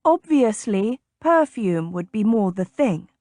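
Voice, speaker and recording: A woman reading the part of a spirited, sharp-tongued young noblewoman with a bright, lilting delivery. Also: mid-range voice, very animated, slow pace, no echo, studio quality. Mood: tart. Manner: pleading or snappish, lilting.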